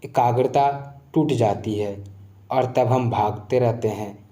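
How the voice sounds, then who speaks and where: A man giving a scripted motivational spiritual narration in a measured, reflective, explanatory way. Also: mid-range voice, warm tone, echoey room. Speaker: narrator of moral story channel.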